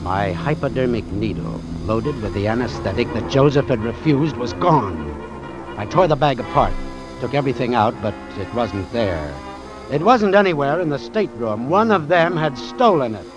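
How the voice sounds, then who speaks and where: A male actor plays a hard-boiled doctor in an old radio thriller. He is wary and terse, with a mid-pitched voice that moves dramatically up and down. Music runs under the vintage recording. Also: big pitch swings, moderate pace, close to an everyday voice. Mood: tense, brooding. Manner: terse, suspenseful. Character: wary, hard-boiled doctor narrating his sea adventure. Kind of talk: old-time radio drama acting and narration.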